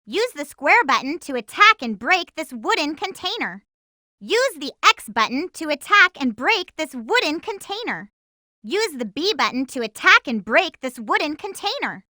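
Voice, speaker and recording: A woman voicing a perky, bold cartoon hedgehog girl hero in a video game, giving hints in a chirpy, clearly enunciated, eager way. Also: high-pitched, big pitch swings, normal speed, pushed and bright, dry recording, studio quality, heavily acted. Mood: bright, eager. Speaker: playable character giving gameplay hints. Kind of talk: video game tutorial voice acting.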